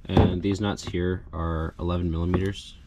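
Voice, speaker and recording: Low-voiced man in a casual how-to. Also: little pitch movement, moderate pace. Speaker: DIY vlogger demonstrating an installation. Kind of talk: casual hands-on how-to narration. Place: outdoors in a residential yard and driveway. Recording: clear background noise, outdoor ambience.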